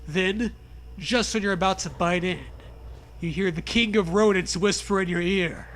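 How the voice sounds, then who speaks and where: A man's voice: high-pitched, normal speed, fairly bright tone, a little hiss or hum. A man co-hosting a comic dramatic reading of a horror story, with crude, jokey asides. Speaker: co-host, reader.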